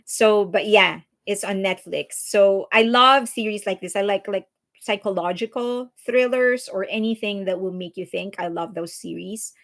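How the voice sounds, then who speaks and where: A woman's voice: medium pitch, normal speed, a bit bright. A woman from the Philippines with a Filipino accent, hosting a casual livestream and answering viewer comments in a chatty, earnest way. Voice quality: chatty, earnest, frequent restarts and fillers. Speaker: livestream host.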